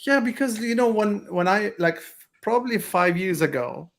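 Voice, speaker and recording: A male podcast host with a slightly high voice asks his questions earnestly. He often restarts his sentences partway through and then presses on with his point. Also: expressive, normal speed, gravelly. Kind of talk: interview questions and commentary.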